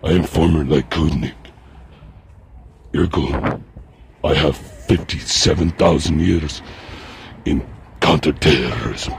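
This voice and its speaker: Brief: a very deep, deadpan ex-security mercenary, slow and clipped, noisy traffic behind. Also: male voice, gravelly, full character voice. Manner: flat, clipped, stiff deadpan delivery. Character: gruff deadpan mercenary security man for hire.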